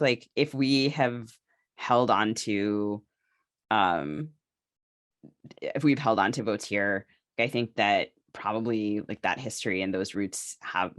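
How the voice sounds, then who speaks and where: A woman speaks as a podcast co-host in a casual, conversational way. She circles through her thoughts in halting phrases, often dropping in "like" as she goes. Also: a bass voice. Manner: hesitant phrasing with frequent "like" and "right". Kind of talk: casual discussion.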